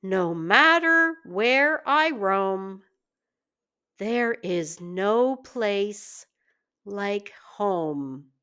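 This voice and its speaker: Storybook country mouse, played by a woman: timid, homely, earnest, extremely unhurried, expressive pitch. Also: clean tone, exaggerated voice. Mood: contented. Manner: slow, earnest read-aloud delivery for children.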